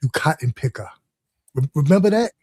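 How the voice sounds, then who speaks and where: A man's voice: on the high side, expressive, a little fast, a little mellow, husky, no echo, studio quality. A man talking casually and conversationally as a co-speaker in a discussion, reminiscing informally.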